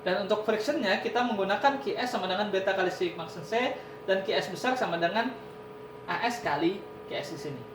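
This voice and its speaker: A man giving an online university lecture, explaining step by step and often saying "nah" and "ini". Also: brisk. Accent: Indonesian. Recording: echoey room with noise and rumble.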